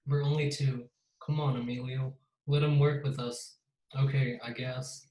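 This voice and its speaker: Even-toned man reading, roomy echo. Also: medium pitch, measured pace. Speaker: read-aloud reader. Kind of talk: reading a children's picture book aloud.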